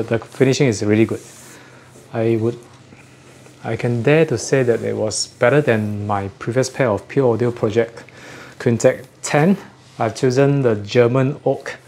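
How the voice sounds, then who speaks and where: A man with a mid-pitched voice talks casually as a video host showing off a product. He repeats and rephrases as he goes. The recording has clear background noise. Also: darkish tone. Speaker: host of an audio gear channel. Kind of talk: casual unboxing chat.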